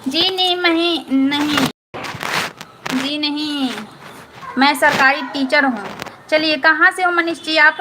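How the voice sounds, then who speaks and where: High-voiced woman chatting live. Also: slow, pauses often, noisy background. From Patna, Bihar. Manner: chatty, repetitive, keeps asking "understood?". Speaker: live-stream host answering viewer comments. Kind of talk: casual live-stream chat.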